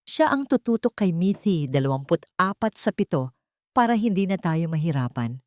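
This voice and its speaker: A woman's voice: lowish voice, very animated, moderate pace, a little mellow, dry recording, studio quality. A woman voicing a guilt-ridden, protective rich father and guarded husband in an audio drama, earnest and restrained.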